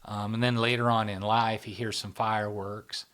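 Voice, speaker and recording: A man who founded a channel, explaining in a relaxed casual conversation, with many fillers and restarts. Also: lowish voice, normal speed, smooth.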